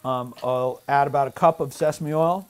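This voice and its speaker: A man's voice: medium pitch, steady tone, moderate pace, clear, resonant tone. A middle-aged man from Hawaii with an American accent, presenting casually as a chef to camera. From Hawaii, United States. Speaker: chef and restaurant owner. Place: outdoors, open yard and covered grill deck. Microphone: lapel microphone.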